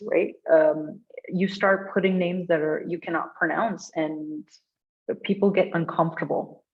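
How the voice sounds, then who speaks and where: A woman from India with an Indian accent, speaking conversationally and earnestly as she answers audience questions, with expressive, laughter-prone delivery. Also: lowish voice. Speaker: guest speaker at a design meetup. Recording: dull, lo-fi online call audio.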